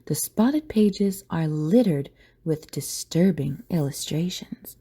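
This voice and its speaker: Animated woman narrating an audio play. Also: low voice, slow pace, slightly rough. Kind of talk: dramatic scripted story narration.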